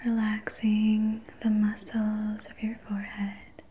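A woman whispering softly and breathily as an ASMR host, guiding relaxation in a soothing, gentle, unhurried manner. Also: medium pitch, completely flat delivery, husky. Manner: soothing, slow, gentle personal attention. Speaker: ASMR host and reiki practitioner.

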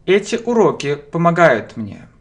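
A man teaching an English lesson, dictating each phrase slowly word by word and repeating it, at a desk in his home. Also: fairly high pitch, very animated. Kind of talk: slow dictation-style language lesson. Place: home room, indoors. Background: keyboard typing and clicks.

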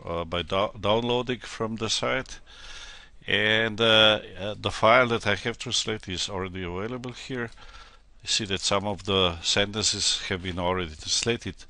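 Slovenian-accented man, bright sharp voice, slow and halting, software screencast tutorial. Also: fairly low pitch, fairly even intonation, no echo. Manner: halting, hesitant delivery with frequent hesitations. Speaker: translator demonstrating software.

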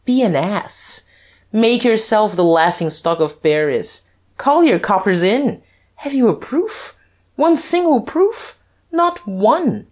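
Woman as a suave, taunting gentleman duke, husky. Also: fairly low pitch, expressive, measured pace, pitched above the performer's own voice. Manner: taunting, coolly superior. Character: suave, cool, scornful aristocratic gentleman duke. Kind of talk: dramatized audiobook reading.

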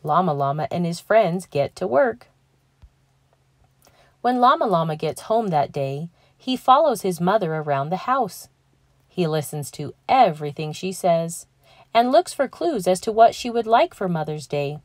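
A young adult woman reading a children's picture book aloud, gentle and clear, lifting her voice for the characters. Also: fairly low pitch, very animated, unhurried, dry recording, clean studio sound. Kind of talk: read-aloud storytelling.

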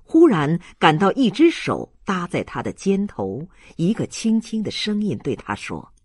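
A man reading a novel aloud as a dramatic, deliberate audiobook narrator. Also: sits high, wildly swooping pitch, husky, no room sound, clean studio sound.

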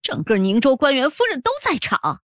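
A woman's voice: high voice, sing-song, normal speed, no echo, studio quality. A woman playing a haughty, indignant official's wife and grandmother in an audiobook drama, scolding and huffing.

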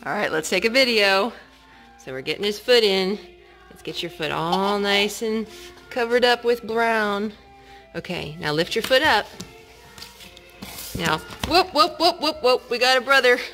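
Woman, mid-pitched, parent-style craft host. Encouraging, sing-song talk to little kids, casual phone recording with music behind. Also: expressive, unhurried, long pauses, bright, forceful tone. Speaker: parent hosting a children's craft video. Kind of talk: casual chat with small children while narrating a craft. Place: indoors at home, wood-floored living room. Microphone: handheld phone filming.

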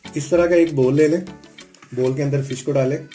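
A man with a Pakistani Urdu accent, hosting a home cooking tutorial and giving calm step-by-step instructions in a home kitchen. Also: fairly high pitch, moderate pace. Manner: calm step-by-step instructions, often saying "theek hai". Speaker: cooking channel host. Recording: background music, oil sizzling. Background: music and frying sizzle.

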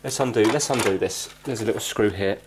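A man's voice: fairly low pitch, brisk. A man giving casual hands-on repair commentary, thinking aloud and often checking himself with questions. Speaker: amateur repair video host. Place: indoors on a wooden floor. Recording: clicky, noisy room recording.

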